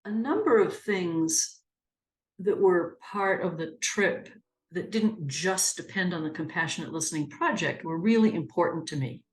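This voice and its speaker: A woman from New Hampshire sharing her experience in an animated way, laughing as she retells moments. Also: lowish voice, measured pace. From New Hampshire, USA. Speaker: interviewee, churchgoer. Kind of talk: reflective testimony. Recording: roomy sound with music bed.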